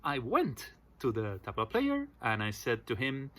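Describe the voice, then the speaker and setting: Argentine man, 40s, native Spanish speaker, relaxed personal vlog storyteller, mid-pitched voice. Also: a little slow. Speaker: vocal percussion teacher.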